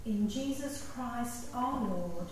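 A woman leads a solemn prayer in a formal manner. Her voice is fairly high and she takes her time over each phrase. It is heard from some way off in a very reverberant church. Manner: measured, formal. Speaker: prayer leader at a church memorial service. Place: inside a stone parish church, recorded from the congregation. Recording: very echoey church, distant mic, faint noise.

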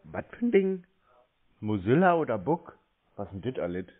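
A man talks over a thin, muffled phone line. His pitch is ordinary. He plays an obtuse customer, grumbling and quibbling over what he is told. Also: sing-song, moderate pace. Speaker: scambaiter posing as a victim on a phone call. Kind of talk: phone conversation, playing a slow customer.

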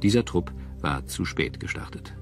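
A man narrating a scripted history documentary in a measured, dramatic storytelling manner. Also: sits low, animated. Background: prominent music.

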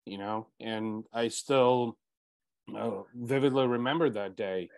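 A man's voice: medium pitch, steady tone, slow, darkish tone, clean tone, no room sound. A man in his forties with a Bulgarian mother tongue, hosting an interview and telling his story earnestly, full of "you know" fillers, over earbuds on a video call. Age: 40s. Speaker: podcast host.

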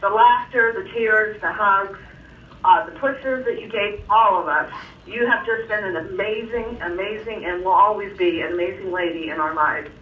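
A woman's voice: mid-range voice, moderate pace, recorded from a distance, slight background noise. A woman giving a bubbly, emotional farewell to a longtime colleague over a video call. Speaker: coworker giving a tribute. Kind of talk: farewell message. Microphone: video call on room speakers. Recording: muffled call speaker, echoey room.

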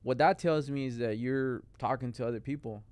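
A man's voice: medium pitch, a little fast, darkish tone, smooth, no echo. A young man from Mexico, guest on a podcast, talking in a rambling casual chat full of "like" into a studio mic. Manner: rambling, lots of "like" and "bro". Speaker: guest artist. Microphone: studio mic on boom arm.

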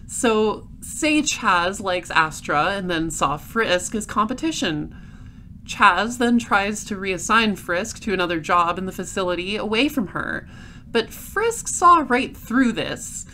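A woman's voice: medium pitch, bright, forceful tone. Actress as shrewd lady space detective, dry n sardonic, takes her time, audio drama, bit of noise behind. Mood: musing, explaining. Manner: deliberate, explanatory, sardonic.

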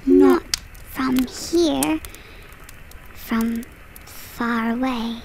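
A woman playing a dreamy, eerie female spirit in an audio horror drama, halting and wondering as she talks. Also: sits high, gravelly, darkish tone, slight background noise, exaggerated voice, low-quality recording.

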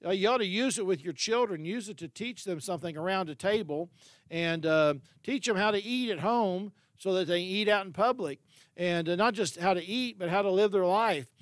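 A man's voice: high voice, moderate pace, studio quality. An older man preaching a sermon as pastor, earnest, with fillers and repeated words, holding a handheld mic in a large unfinished hall.

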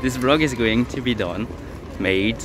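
A young man with a French accent talks to his phone on a busy city square. He rambles casually, full of fillers and little jokes, at a middling pitch. Clear street noise sits behind his voice. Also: unhurried. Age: young adult. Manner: chatty, joking, many fillers and restarts. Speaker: vlogger. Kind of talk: casual vlog chat. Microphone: phone at arm's length.

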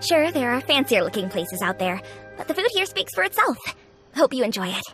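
An actress playing a friendly, talkative young restaurant owner in a video game, speaking in a confiding way. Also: female voice, fairly high pitch, very animated, a little fast, fairly bright tone. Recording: game audio with music bed.

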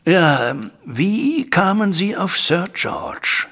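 An actor playing a troubled older gentleman and father, formal and emphatic, with a gravelly voice, in a German audio drama. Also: sits high, very animated, slow, full character voice. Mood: astonished, urgent. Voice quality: gravelly, raspy.

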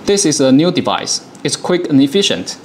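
Man presenting software step by step, noisy recording. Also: on the high side, sing-song, moderate pace. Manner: explanatory, step-by-step instruction. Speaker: product presenter. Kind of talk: software demonstration in a two-person dialogue.

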